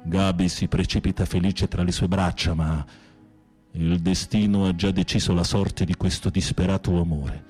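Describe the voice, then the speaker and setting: A deep, husky, theatrical male prisoner. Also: normal speed, faint music behind. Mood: melodramatic storytelling. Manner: literary, dramatic, expressive delivery. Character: theatrical, sensitive male prisoner telling melodramatic tales. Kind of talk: Italian radio drama acting.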